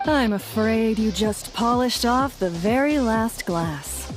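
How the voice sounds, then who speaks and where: A woman playing a polite, tactful bartender in an anime game, speaking in a courteous, measured way. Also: on the high side, lively intonation, over music. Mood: apologetic, diplomatic.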